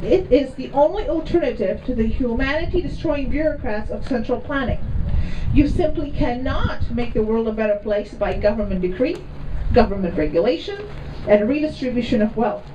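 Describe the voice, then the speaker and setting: A woman with a Czech accent gives an emphatic, deliberate prepared speech from an outdoor rally stage, heard over a PA system. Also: a little high, animated, dull audio. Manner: emphatic, deliberate, reading from notes. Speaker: rally speaker. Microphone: PA system heard from a distant camera. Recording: distant outdoor PA with crowd noise.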